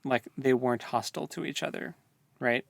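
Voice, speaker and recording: Man, book podcast co-host, mid pitch, dry close recording, laid-back casual chat. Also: fairly quick, vocal fry, no room sound. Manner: laid-back, agreeable, laughs often.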